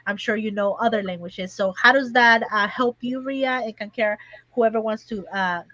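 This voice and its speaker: A woman host asks questions slowly, stopping and restarting often. Her voice is bright and a little high, and the sound is dull, dry and lo-fi. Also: no room sound. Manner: halting, many hesitations. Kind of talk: interview questions.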